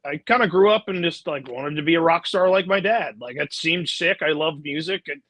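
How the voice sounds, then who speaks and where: A man's voice: on the high side, fairly quick, a bit bright. A young man chatting casually as a musician guest in an interview, informal and easygoing. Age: young adult. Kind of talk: casual chat.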